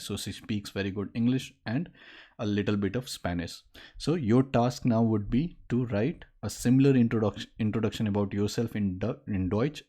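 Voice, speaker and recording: A man in his late twenties, a native Maithili speaker, teaching a casual online lesson at a desk, explaining and pausing with fillers. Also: fairly low pitch, mellow. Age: late 20s. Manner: explains in mixed speech, halting with fillers. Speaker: language teacher. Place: indoor room at a desk.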